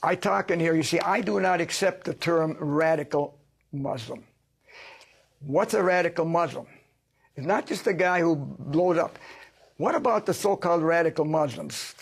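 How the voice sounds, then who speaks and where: A man's voice: fairly high pitch, gravelly, husky. An older man answering interview questions as a sociologist, emphatic and lecturing, asking himself rhetorical questions.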